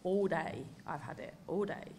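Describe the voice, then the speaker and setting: Woman, English accent, 30s, football coach, lower voice, candid, emphatic, post-match press room, desk mic. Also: moderate pace, a little mellow, a little hiss or hum. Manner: candid, emphatic, many fillers. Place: indoor press room before a sponsor backdrop.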